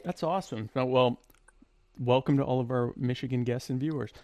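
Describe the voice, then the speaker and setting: A man's voice: mid-range voice, fairly even intonation, a little fast, darkish tone, no room sound, clean studio sound. A man hosting an online talk show, chatting casually in an easygoing, self-deprecating way with fillers. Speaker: show host. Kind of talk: casual conversation.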